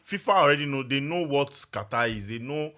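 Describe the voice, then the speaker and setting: Halting man, bright voice. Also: mid-range voice, lively intonation. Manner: halting, stammers and repeats words. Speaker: podcast co-host. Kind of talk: casual chat.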